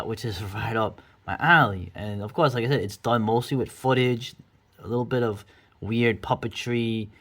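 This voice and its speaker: A man's voice: fairly low pitch, fairly bright tone. A middle-aged man giving casual, rambling, enthusiastic commentary as the host of a video review.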